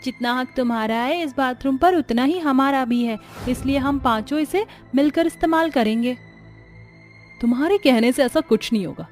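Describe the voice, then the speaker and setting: A woman's voice: high voice, normal speed, soft background music, close to an everyday voice. A woman voicing a feisty, naive poor village daughter-in-law in a cartoon story, plain-spoken and protesting. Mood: defiant.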